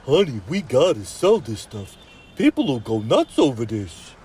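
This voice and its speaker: A gruff young hot dog vendor in a storybook tale, all tough street slang, with a dark, mellow voice that rises and falls a lot, as a man puts him on. He talks plainly and warmly under the rough edge. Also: mid-range voice, sing-song, a little slow, slight background noise. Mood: eager.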